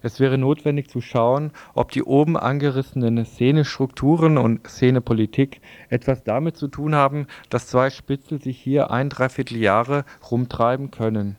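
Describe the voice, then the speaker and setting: A man's voice: medium pitch, steady tone, moderate pace, hardly any pauses, dry recording. A man reads a scripted political text aloud as a radio presenter. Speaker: radio presenter reading quotations.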